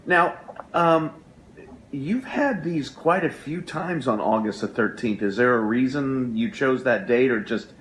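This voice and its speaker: A man's voice: medium pitch, animated, measured pace, fairly bright tone, roomy sound, slight background noise. A man co-hosting an interview show and asking questions in a casual style. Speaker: radio show co-host, interviewer.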